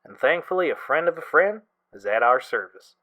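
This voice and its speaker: A man playing a wry, exasperated but affectionate young friend in an audio drama, talking casually with a gently complaining manner. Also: fairly high pitch, lively intonation, moderate pace, narrow, tinny audio, close to an everyday voice.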